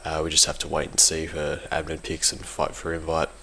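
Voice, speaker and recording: A man's voice: rumbling low, completely flat delivery, fairly quick, gravelly, pushed and bright, slight background noise. A man giving casual, unscripted commentary on competitive gaming in a hesitant, informal way, often correcting himself. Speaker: competitive gaming team member giving an audio log.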